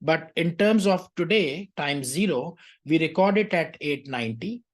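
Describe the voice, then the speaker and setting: Accounting tutor, mid-pitched voice, didactic, explanatory lecture style, checks understanding. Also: animated, a little slow. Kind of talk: explanatory lecture working through an exam question.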